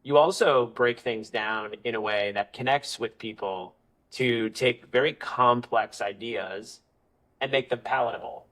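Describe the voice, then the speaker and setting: A man's voice: medium pitch, measured pace, a bit bright, low-quality recording. A man hosting a podcast interview, complimenting his guest and steering the conversation back to the topic.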